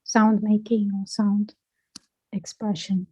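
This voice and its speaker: A woman guiding a self-inquiry conversation, asking gently probing and playful questions. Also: medium pitch, little pitch movement, slow, slightly rough, no echo, highs cut off.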